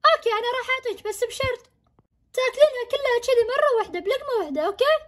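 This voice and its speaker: A woman's voice: extremely high pitch, very fast. A woman voicing a mischievous young boy who teases and gloats over a prank. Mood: teasing. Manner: gleeful, teasing.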